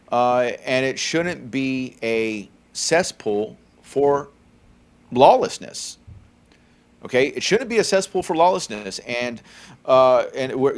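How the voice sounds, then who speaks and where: A man in his forties from California, co-hosting a faith podcast, talking conversationally with many fillers and a frequent "okay?". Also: mid-range voice. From California, USA. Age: 40s. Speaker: podcast co-host. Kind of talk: faith-centered podcast commentary.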